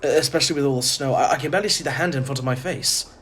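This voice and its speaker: A man's voice: mid-range voice, racing, fairly bright tone, close to an everyday voice. An actor playing a polite, uneasy traveller in an audio drama, earnest and hesitant in his delivery. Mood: nervous, hesitant. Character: uneasy, polite male traveller narrating his strange homecoming. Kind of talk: audio drama narration and dialogue. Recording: clearly noisy ambience behind. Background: ambience noise.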